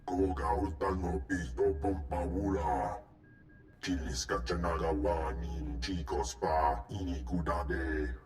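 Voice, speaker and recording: Greedy alien crime lord for a game cutscene. Low, unhurried, scheming, talking in an invented tongue. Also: male voice, darkish tone, reverberant, faint music behind. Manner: slow, deliberate delivery in an invented alien language. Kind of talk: video game cutscene acting.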